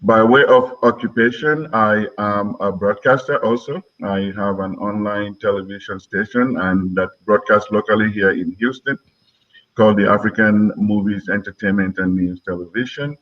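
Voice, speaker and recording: A man in his sixties with a Nigerian accent, from Lagos, giving measured answers as an interview guest on a muffled recording. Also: lowish voice, a bit bright. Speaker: interview guest, politician and broadcaster.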